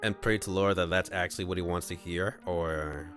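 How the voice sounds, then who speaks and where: A man streaming and reading a visual novel aloud, playful and thinking out loud about his choices. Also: low-pitched, steady tone, moderate pace, soft background music.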